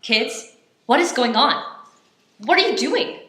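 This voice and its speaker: A woman acting an animated mom in a radio play. Her voice is bright and fairly high, with the exasperated edge of a parent, in a roomy recording. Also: slow pace, heavily acted. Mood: stern, alarmed. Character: mother, exasperated, scolding her children. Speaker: actor in an amateur radio play. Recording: roomy sound.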